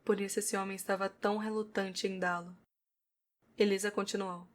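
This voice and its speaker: Even-toned Brazilian woman narrator. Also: medium pitch, moderate pace. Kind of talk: scripted audio novel narration.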